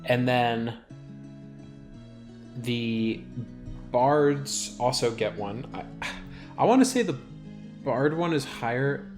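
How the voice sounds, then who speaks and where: A man's voice: mid-range voice, expressive, takes it slowly, pauses often, a little mellow, faint music behind. A man giving casual, rambling gameplay commentary as a video host, thinking aloud as he plays.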